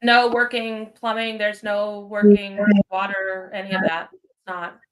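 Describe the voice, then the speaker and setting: A woman's voice: medium pitch, a little slow, rough recording. Woman guest, paranormal investigator, easy storytelling full of ums. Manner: relaxed, many ums, vivid retelling. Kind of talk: storytelling in casual chat.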